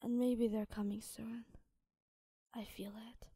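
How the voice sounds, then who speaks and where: A woman with an Arabic-tinged English accent voicing a wounded young woman who soothes her partner in a soft whisper. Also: a little high, level tone, slow pace, husky, full character voice. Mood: tender. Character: hurt young woman, gentle lover.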